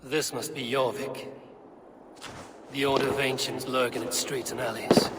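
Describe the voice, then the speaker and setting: An actor playing a blunt, steady male warrior in a video game, terse and deliberate. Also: medium pitch, close to an everyday voice. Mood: purposeful. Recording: noisy outdoor game ambience.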